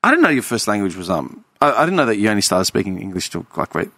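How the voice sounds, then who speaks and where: A man's voice: fairly low pitch, sing-song, fast, pushed and bright, dry recording, studio quality. A man in his thirties with an Australian accent, talking bluntly and reflectively in casual chat, swearing freely. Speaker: podcast co-host.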